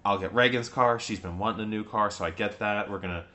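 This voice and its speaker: A man's voice: fairly low pitch, fast talker, bright, forceful tone. A young man co-hosting a casual podcast, telling stories in a rambling way and laughing often. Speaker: podcast co-host. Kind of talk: casual chat.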